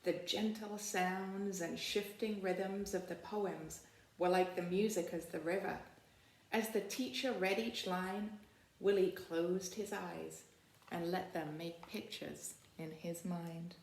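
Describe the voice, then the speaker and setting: Echoey, lower-voiced woman reading to children. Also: fairly even intonation, takes it slowly, creaky, a little mellow. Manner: teacherly, checking in with questions to young listeners. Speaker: school librarian reading to children. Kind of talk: read-aloud library video for children. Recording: roomy, echoing sound.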